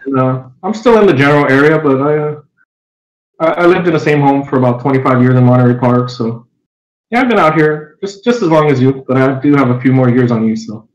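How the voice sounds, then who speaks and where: A man in his forties, born in Japan and raised near Los Angeles, a guest telling stories in a relaxed, easygoing way on a video-call podcast. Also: medium pitch, a little fast. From born in Japan, raised east of Los Angeles. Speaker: guest, fighting game veteran. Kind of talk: casual podcast interview over video call. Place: room at home. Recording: roomy, dull lo-fi webcam audio.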